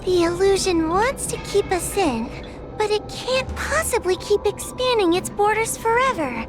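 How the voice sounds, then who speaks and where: A woman's voice: very high voice, lively intonation, a little slow, music in the background. An actress playing a timid, easily scared young girl in a video game, speaking meekly and hesitantly. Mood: earnest. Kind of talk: video game character acting.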